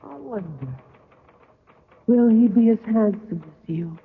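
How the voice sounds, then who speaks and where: A woman's voice: on the high side, expressive, slow, narrow, tinny audio. An actress playing a coy, vain, teasing female phantom muse in an old-time radio drama, musing and needling with questions. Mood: wondering. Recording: reverberant, thin old radio broadcast.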